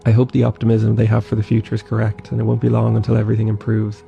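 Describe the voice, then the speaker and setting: Guy doing a wry travel voice-over, fast and monotone, dull lo-fi sound. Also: lowish voice, creaky. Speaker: travel vlogger. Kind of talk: scripted travel narration. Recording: dull lo-fi voice-over with faint music.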